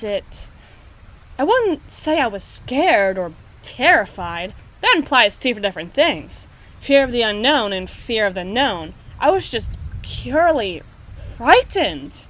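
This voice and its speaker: A woman playing a proud, anxious, flustered schoolgirl in an ASMR audio roleplay, speaking in halting, formal phrases. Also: fairly high pitch, pushed and bright, heavily acted, rough recording. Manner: halting, prim, formal phrasing with trailing restarts.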